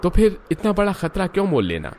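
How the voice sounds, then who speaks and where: A man's voice: high-pitched, huge pitch swings, brisk, a little mellow, a little hiss or hum. A man playing a concerned village doctor who explains things earnestly in a cartoon moral story.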